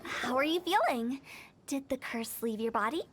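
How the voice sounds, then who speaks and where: A woman's voice: high voice, expressive, normal speed, soft background music. A woman playing a quirky, mischievous young funeral parlor director in a video game, playful and teasing.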